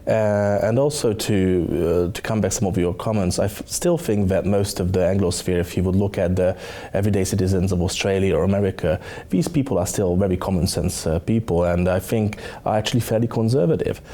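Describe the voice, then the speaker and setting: A man in his thirties with a Hungarian accent, a think tank director being interviewed, speaking haltingly with many fillers on a lapel mic in a studio. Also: low-pitched, mellow. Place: studio set with dark curtains.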